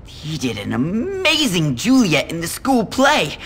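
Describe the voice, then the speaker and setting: Nerdy teen boy, game cutscene: worried, very animated, high-pitched. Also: a little slow, breathy edge, full character voice. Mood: worried, explaining. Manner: worried, chattering. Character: anxious, excitable nerdy teenage schoolboy. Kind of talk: video game cutscene acting.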